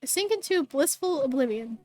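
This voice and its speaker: A hoarse, bubbly woman streamer reading game text aloud and chatting with viewers, tripping over words now and then. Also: high-pitched, lively intonation, moderate pace, a little mellow, no echo.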